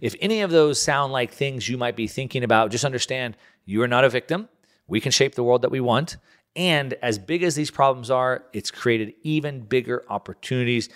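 A man's voice: medium pitch, fairly quick, a bit bright. American man hosting his own show. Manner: conversational, restarts and fillers, earnest. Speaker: podcast host. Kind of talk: casual monologue, solo show.

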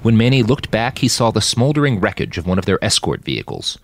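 Low-pitched man narrating dramatic fiction. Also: fast, dry recording. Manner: expressive, shouts out characters' lines. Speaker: audiobook narrator reading own fiction podcast.

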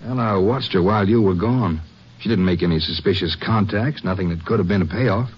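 Lawman partner, husky, folksy, earnest, inquisitive, muffled vintage western radio drama. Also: sits low, brisk. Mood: puzzled, thoughtful. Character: earnest lawman sidekick puzzling out clues. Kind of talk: old-time radio western acting. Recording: muffled old radio recording.

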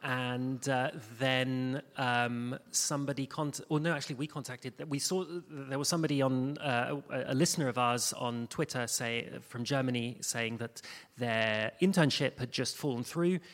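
A man speaking informally as a panel guest, in a halting way with many restarts. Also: mid-range voice, fairly bright tone. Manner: hesitant, halting, restarts often. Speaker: panel guest, audio drama producer. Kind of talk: informal spoken anecdote on a panel. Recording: echoey hall with long reverb.

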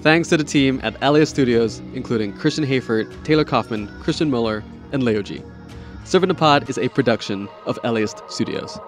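Mid-pitched man hosting a podcast. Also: brisk, faint music behind. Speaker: podcast host and interviewer. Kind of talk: conversational interview and scripted credits.

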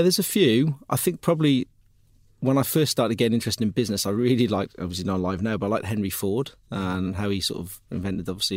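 A man with a Welsh accent answering interview questions in a relaxed, chatty way, with frequent ums and laughs. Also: low voice, no echo. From Wales. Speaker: interview guest, investor.

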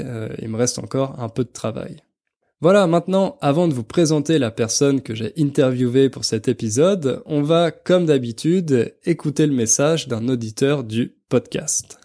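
A man's voice: mid-range voice, mellow. A young adult man with an accent from France presents a podcast for language learners, explaining things didactically. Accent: French, from France. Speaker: podcast host and French teacher.

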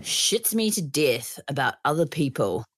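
A woman's voice: sits low, sing-song, unhurried, a little hiss or hum. A woman guest with a nasal voice, bantering and laughing often in casual chat.

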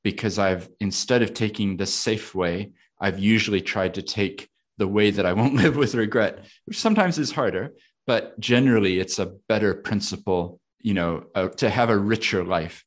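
A man with an American accent talks in a low, relaxed voice. He reflects out loud, asks himself questions and laughs easily in casual conversation. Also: normal speed. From United States.

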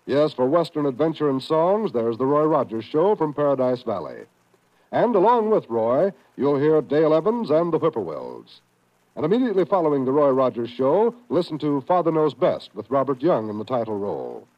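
American man, vintage network announcer, animated, emphatic, slightly high, dull old recording. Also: moderate pace. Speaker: radio announcer. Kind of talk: 1950s network radio announcing, scripted promotion.

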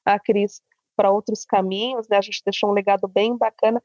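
A Brazilian woman appears as a podcast guest and producer, talking with relaxed enthusiasm. The studio recording is dry and close. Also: mid-range voice, moderate pace, no room sound. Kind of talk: podcast conversation.

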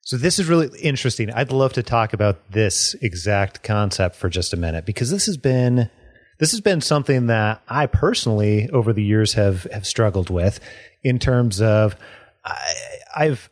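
A man's voice: on the low side, a little mellow, dry recording, clean studio sound. A man hosting a podcast, sharing personal experiences in a searching, hesitant way. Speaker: podcast host. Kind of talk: casual chat.

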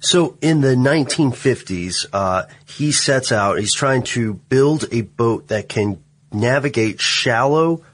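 A man co-hosting a podcast, telling background history in a relaxed conversational way. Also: mid-range voice, takes it slowly. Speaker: podcast co-host. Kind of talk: casual chat.

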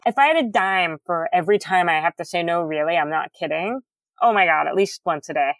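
A woman talks loudly and enunciates every word with care. She is earnest and animated, full of "you know" and "um". Her voice sits a little low, and the recording is dry and close. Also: a little fast, dry recording. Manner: loud, carefully enunciated speech; earnest, animated, many fillers. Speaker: guest. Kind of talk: candid conversational interview.

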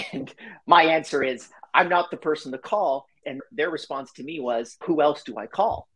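Husky-voiced chatty male guest. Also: a little high, a bit bright. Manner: quick, chatty storyteller who laughs easily. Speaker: podcast guest, company founder. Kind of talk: casual interview talk.

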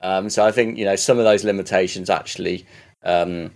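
A man chatting casually on a podcast, rambling with many restarts and swearing now and then as he gets excited. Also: sits low, a little fast. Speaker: podcast co-host. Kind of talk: casual podcast chat about games.

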